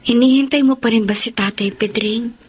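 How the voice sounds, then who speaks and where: An actress playing a gentle, apologetic young wife in a radio drama, speaking softly and yieldingly. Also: female voice, a little high, fairly even intonation, a little fast, slightly rough. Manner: soft, yielding.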